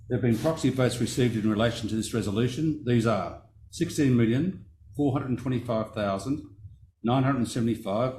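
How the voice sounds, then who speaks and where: A man's voice: mid-range voice, warm tone, slight background noise, rough recording. A man chairing a company meeting, reading out resolutions and vote counts in a formal, careful way and stopping now and then to correct a figure. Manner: reads figures carefully, pausing to correct himself. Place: meeting room.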